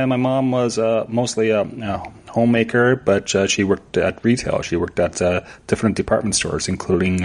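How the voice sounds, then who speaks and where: A middle-aged man from Canada, chatting as a podcast guest in a halting way, sometimes stuttering and slurring words. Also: on the low side. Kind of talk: casual interview chat.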